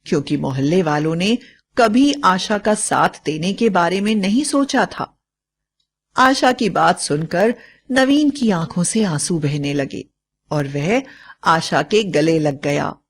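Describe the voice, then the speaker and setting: A woman's voice: fairly low pitch, husky. A woman narrator reading a scripted bedtime story for children.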